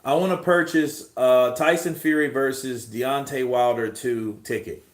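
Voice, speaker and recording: A man hosting a boxing livestream, chatting casually in a rambling, profane, animated way. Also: mid-range voice, unhurried, roomy sound.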